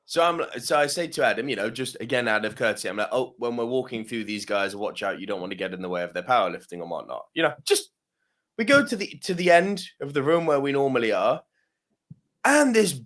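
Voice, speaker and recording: A man's voice: mid-range voice, fairly bright tone. A man co-hosting a podcast, telling a long story casually, swearing freely, laughing often and asking "yeah?" as he goes. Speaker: podcast co-host.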